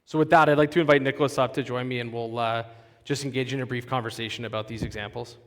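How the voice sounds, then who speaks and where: A man presenting on a conference stage, his voice at an ordinary middle pitch. He talks in a polished, explanatory way with the odd restart. The sound carries the long reverb of a big hall. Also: steady tone, fast talker, a bit bright. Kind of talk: conference talk and onstage interview.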